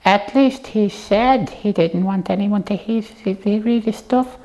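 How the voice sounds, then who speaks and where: A woman's voice: medium pitch, unhurried, warm tone, low-quality recording. A woman presenting a puppet show in casual comic chat, explaining things in a rambling, hesitant way.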